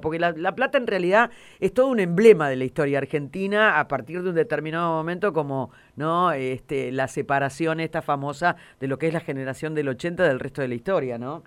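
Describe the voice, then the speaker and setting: A woman with a Rioplatense accent hosting a radio interview, chatty and curious, quick to agree and to share her own view of history. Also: low-pitched. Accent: Rioplatense Spanish.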